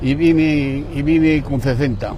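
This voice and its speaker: A man's voice: a little high, slow, dark tone, slight background noise. An older man from Spain, a returned emigrant, telling rambling, indignant stories in casual street chat outdoors.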